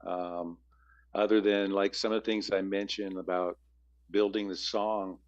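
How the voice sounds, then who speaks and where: A man with a very low bass voice, answering interview questions as a musician guest, rambling with frequent restarts. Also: level tone, measured pace, highs cut off.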